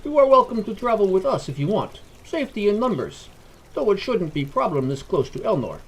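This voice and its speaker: A man's voice: high-pitched, big pitch swings, a little fast, breathy edge, pitched above the performer's own voice, full character voice, poor audio. A man voicing a courteous, unruffled adventurer in a fantasy audio story. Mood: welcoming. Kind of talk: audio fiction character dialogue.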